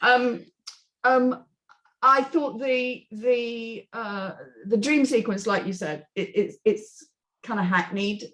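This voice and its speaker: A woman's voice: medium pitch, poor audio. A woman on a script reading panel giving an unscripted, emphatic and blunt critique in discussion.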